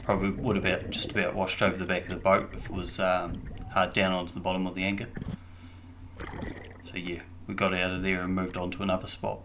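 A man's voice: deep voice, level tone, quick pace, noisy background. A man with a New Zealand accent giving casual voice-over commentary as a diving vlogger. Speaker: vlog host and diver.

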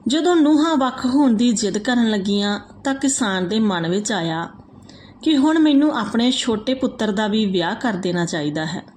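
Woman narrating a folk tale, higher voice. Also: expressive, normal speed, a little mellow, a little hiss or hum. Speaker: storyteller.

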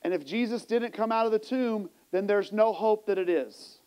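High-pitched male pastor preaching emphatically. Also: normal speed, clean tone. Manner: emphatic, rhetorical questions, swings between hushed and raised delivery. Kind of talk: sermon preached to a congregation. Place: church sanctuary stage, indoors. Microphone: small mic clipped to the chest.

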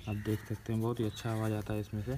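A man talks casually through a simple hands-on demonstration. His voice is fairly low and stays very even in pitch. It is recorded outdoors on a phone, with very loud ambient noise and crows cawing. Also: rapid-fire. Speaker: host of a DIY experiment video. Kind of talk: casual hands-on tutorial talk. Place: open concrete rooftop outdoors.

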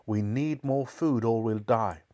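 A man's voice: mid-range voice, measured pace, warm tone, lightly acted. A man voicing a brutish, selfish ship's cook in a story reading, snarling and sneering.

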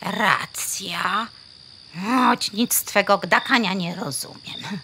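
A woman playing a sharp-tongued, exasperated hen in a children's audio play, scolding sarcastically with bursts of clucking. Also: medium pitch, slow, slight background noise. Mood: flustered, indignant.

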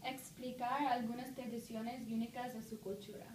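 A woman's voice: on the high side, little pitch movement, normal speed, darkish tone. Young woman interviewer, echoey and distant. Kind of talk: scripted interview questions. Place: office conference room. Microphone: far phone camera. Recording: roomy, distant, faint hiss.

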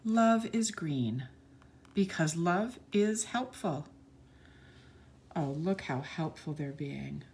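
Woman, lowish voice, animated intonation, children's read-aloud storytelling, expressive delivery, some background noise. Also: slow, long pauses. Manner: expressive, addresses children directly.

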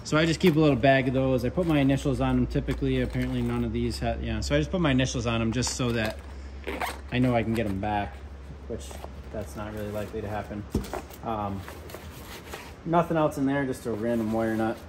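A young man, an HVAC controls tech, chatting casually through his tool bag at a workbench, relaxed with lots of ums and asides. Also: medium pitch, fairly quick, background noise. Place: workshop with pegboard and workbench.

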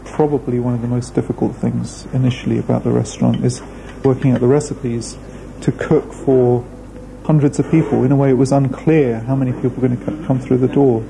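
A man talks through a documentary interview in a measured, hesitant way. His voice is dark and gravelly with little pitch movement. A faint hiss runs under the old video recording. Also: flat delivery, rough recording. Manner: measured, thoughtful, hesitant fillers. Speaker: restaurant founder. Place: indoor room.

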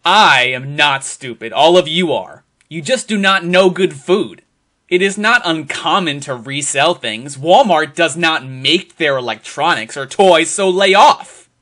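A man giving a dramatic reading in an emphatic, deliberate, mock-serious way. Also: on the high side, animated, sharp tone. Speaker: performer reading social media posts aloud.

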